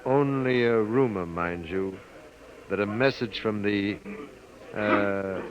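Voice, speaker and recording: A man's voice: fairly low pitch, slow pace. An actor playing a suave, courteous male science writer with formal, precise manners, in 1950s radio drama style. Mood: courteous, probing. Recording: noisy, muffled old 1950s radio recording.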